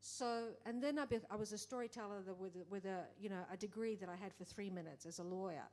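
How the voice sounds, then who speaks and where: A middle-aged woman producer speaking in a conversation, animated, acting out quoted exchanges, with many restarts. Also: medium pitch, fast. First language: English. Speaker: film producer. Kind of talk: panel conversation.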